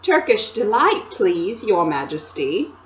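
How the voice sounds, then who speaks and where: A woman's voice: medium pitch, very animated, slow, muffled recording. A woman voicing a young boy, cold and eager, who answers politely and later carelessly. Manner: polite at first, then careless.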